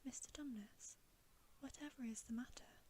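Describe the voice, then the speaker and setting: A woman's voice: on the high side, normal speed, dark tone, lightly acted. A woman voicing an anxious, kind-hearted little girl in a whispered ASMR storybook reading. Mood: worried. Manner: whispered, earnest, concerned. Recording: faint background hiss.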